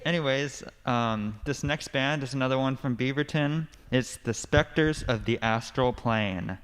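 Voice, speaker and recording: A man's voice: medium pitch, measured pace, close to an everyday voice. A young man playing an upbeat, earnest co-host of a band show in a radio play, with enthusiastic announcer patter. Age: young adult. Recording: echoey hall, faint crowd and noise.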